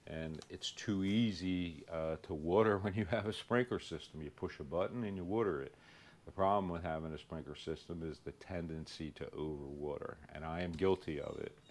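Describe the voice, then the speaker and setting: A man with a Philadelphia accent, talking casually to camera as host of a home and lawn care show, plain-spoken and easygoing as he explains the job. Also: sits low.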